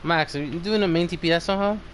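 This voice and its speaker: Male game streamer, notably high voice, halting and conversational, slight noise behind him. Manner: halting, thinking aloud. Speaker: game livestream host. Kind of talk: casual livestream chat.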